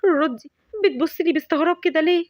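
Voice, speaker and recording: This is a lovestruck young woman with an Egyptian Arabic accent , as a woman puts her on for a storybook. Her voice is high and fast, and she teases in an excited, overdramatic way. The sound is dry and close. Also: dry recording, natural voice. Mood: giddy. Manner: excited, teasing, dramatic.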